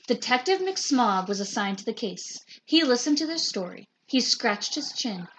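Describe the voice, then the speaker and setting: A woman teacher reads a children's picture book aloud, unhurried and deliberate. Her voice sits slightly high, and a faint hiss of noise runs underneath. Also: sing-song, a bit bright, low-quality recording. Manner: slow, deliberate storytelling.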